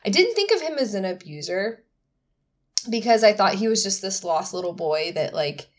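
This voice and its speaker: A woman's voice: medium pitch, very animated, normal speed. A woman co-hosting a podcast, giving opinions casually and emphatically, with lots of "like". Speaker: podcast co-host.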